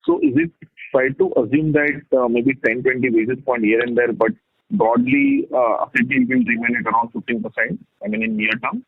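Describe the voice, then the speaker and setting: A man's voice: mid-range voice, wildly swooping pitch, brisk, creaky, breathy edge, no room sound. A man asking questions as an analyst caller on an earnings call, over a muffled phone line.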